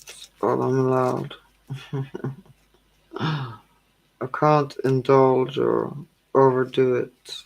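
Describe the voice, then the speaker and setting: Slow, gravelly-voiced tired woman. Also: rumbling low, rough recording. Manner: slow, drowsy, calming delivery. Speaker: tarot livestream host. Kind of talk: casual livestream chat with viewers.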